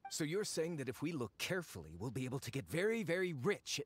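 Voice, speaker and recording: An actor playing a greedy, self-important fake archaeologist in an anime game, pompous, hedging and excitable. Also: male voice, a little high, fast talker, slightly rough. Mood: eager, covering up. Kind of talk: anime video game voice acting.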